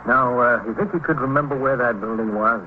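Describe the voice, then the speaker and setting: Guy acting calm american cop detective, businesslike questions, old radio show, narrow tinny sound w bit of noise. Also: brisk, close to an everyday voice. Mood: calm, coaxing. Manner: matter-of-fact, low-key questioning. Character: calm businesslike male police detective, patient with witness. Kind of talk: vintage radio drama acting.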